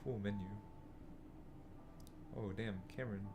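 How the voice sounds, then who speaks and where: A man's voice: on the low side, steady tone, measured pace, background noise. A man co-hosting a casual game playthrough at home, grumbling and sarcastic, swearing often. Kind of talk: casual chat. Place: home room. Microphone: shared desk microphone.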